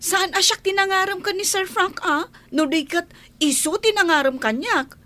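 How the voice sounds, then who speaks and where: A woman's voice: extremely high pitch, normal speed, pushed and bright. A woman playing a girlish, lovestruck young housemaid, timid but insistent, in a radio drama with an Ilocano Filipino accent. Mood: pleading.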